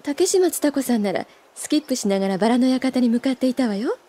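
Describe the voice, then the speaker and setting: A woman's voice: a little high, expressive, fast, dark tone. A woman playing an elegant, composed older schoolgirl with refined, ladylike poise in an anime audio drama.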